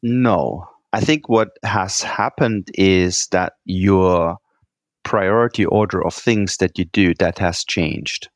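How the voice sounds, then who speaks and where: Low-voiced German-accented man. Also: slow pace, dry recording, studio quality. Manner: earnest, repeats words, frequent "right?" tags. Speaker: guest executive. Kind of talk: podcast interview answers.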